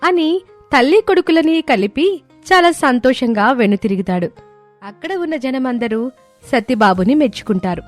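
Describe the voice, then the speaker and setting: A woman's voice: sits high, lively intonation, normal speed, faint music behind. A woman storyteller reading scripted narration for a moral story.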